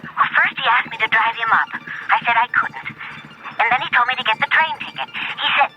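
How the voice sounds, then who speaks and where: A woman's voice: a little high, brisk, soft background music, lightly acted. An actress playing an anxious, devoted woman who speaks in a hesitant, earnest way in a 1970s radio drama. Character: anxious, guarded, devoted woman confiding by telephone. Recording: dull lo-fi old radio recording.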